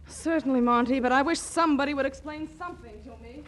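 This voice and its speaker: A woman's voice: high voice, fast talker, natural voice. A woman playing a bewildered young sweetheart in a 1940s radio comedy, questioning and exasperated, on a faintly noisy old broadcast. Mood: puzzled.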